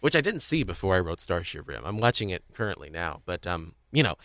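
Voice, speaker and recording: A man with a fairly low voice hosts a casual podcast interview. He rambles and halts, doubling back on words as he works out a thought. The recording is very dry, with no room sound. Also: very animated, a bit bright, dry recording. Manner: rambling, halting, repeats words mid-thought. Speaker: podcast host. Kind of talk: casual interview chat about audio drama.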